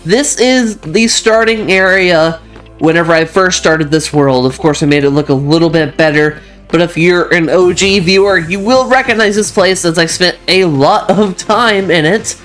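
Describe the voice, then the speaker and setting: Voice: mid-range voice, moderate pace, fairly bright tone, faint music behind. A host with a stuffy voice from mild allergies, chatting casually while narrating gameplay, rambling and restarting sentences. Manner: chatty, rambling, self-correcting with frequent restarts. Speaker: channel host. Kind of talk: casual gaming commentary over gameplay.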